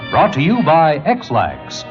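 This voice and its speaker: A man's voice: a little high, huge pitch swings, slow, music in the background. A man making emphatic, showy sponsor announcements in 1940s radio style. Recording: muffled vintage radio recording.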